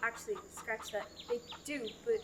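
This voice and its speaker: A woman's voice: fairly high pitch, normal speed, noisy background, lightly acted. A young woman in a student radio drama, playing a dry-witted farm woman. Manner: wry, dry humor.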